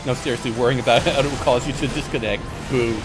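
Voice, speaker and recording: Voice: mid-range voice, fairly even intonation, quick pace, poor audio. A game streamer chatting casually and joking while reacting to gameplay. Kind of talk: casual live game commentary. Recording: game music playing behind.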